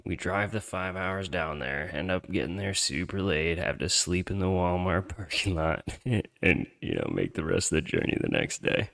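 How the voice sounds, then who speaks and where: A man's voice: sits low, expressive, moderate pace, vocal fry, few pauses, fairly bright tone. A man hosting a podcast, telling a personal story in a casual, slangy, conversational way with some swearing.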